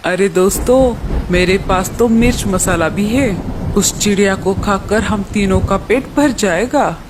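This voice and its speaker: Woman as a greedy villain eagle, male, taunting, medium pitch, faint noise, kids' cartoon. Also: a little slow, natural voice. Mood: gloating. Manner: threatening, taunting. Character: menacing, gluttonous male eagle. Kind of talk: Hindi children's cartoon story voice acting.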